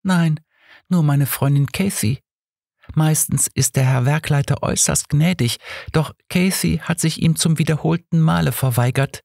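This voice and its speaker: A man's voice: a little high, moderate pace, vocal fry, darkish tone, no room sound, studio quality, voice pushed up. A man voicing a guarded, matter-of-fact young workhouse girl with a faint defiance, in an audiobook story. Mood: matter-of-fact, resigned. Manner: matter-of-fact, resigned, deferential in wording.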